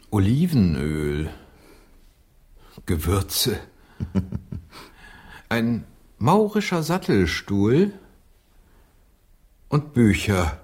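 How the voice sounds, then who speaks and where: An actor playing a curious, hesitant English visitor in a radio play, musing and fumbling for words. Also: male voice, low voice, a little mellow, husky, exaggerated voice. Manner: halting, musing.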